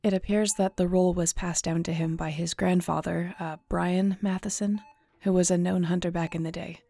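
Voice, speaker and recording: A woman's voice: lowish voice, steady tone, moderate pace, creaky, warm tone. A woman acting in an audio roleplay as a weary, guilt-ridden shifter investigator, speaking in a somber, conversational, confiding way. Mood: matter-of-fact briefing.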